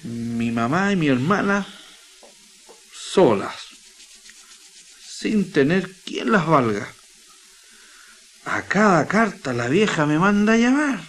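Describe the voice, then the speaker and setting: An actor playing an earnest, sentimental young Chilean miner in a radio drama, speaking in a folksy, emotional way. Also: male voice, fairly high pitch, big pitch swings, slow, pauses often, full character voice. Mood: homesick, troubled. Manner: folksy, emotional colloquial speech.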